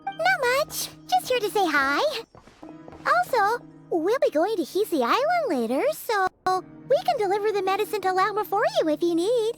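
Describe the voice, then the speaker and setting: A very high, squeaky fairy girl, cheeky and chatty. Her delivery is exaggerated and swoops around in video game dub style, with music behind her. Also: normal speed. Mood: cheerful. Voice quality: squeaky, childlike. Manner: exaggerated, expressive, third-person self-reference. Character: tiny floating fairy companion girl, childlike, cheeky, chatty. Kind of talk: video game character dubbing.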